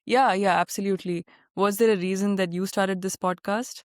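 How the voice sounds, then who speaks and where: A woman hosting a podcast, asking questions in a relaxed way with plenty of fillers. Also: medium pitch, normal speed, dry recording, clean studio sound. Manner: warm interviewer, many fillers, relates to the guest. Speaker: podcast host. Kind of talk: casual interview chat.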